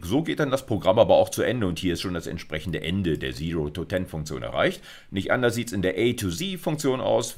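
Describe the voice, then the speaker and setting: A middle-aged man giving an explanatory university video lecture in a conversational way, often checking in with short tags. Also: sits low. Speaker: university computer science lecturer.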